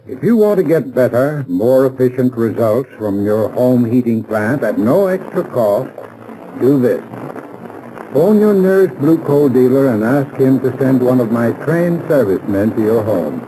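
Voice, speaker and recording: A man's voice: mid-range voice, sing-song, a little slow, background noise. An American man reading a scripted 1930s radio advertisement as a spokesman, formal and instructive. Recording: vintage 1930s radio recording.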